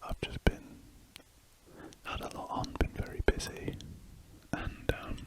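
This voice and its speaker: An ASMR drawing host chatting casually in a soft, meandering whisper with hesitant asides. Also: measured pace, rough recording.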